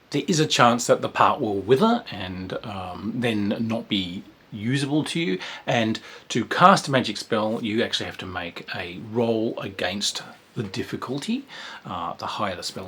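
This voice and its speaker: A man's voice: medium pitch, fairly bright tone, husky. A man giving a casual, rambling spoken review of a tabletop game, with sighs, whispered asides and exclamations.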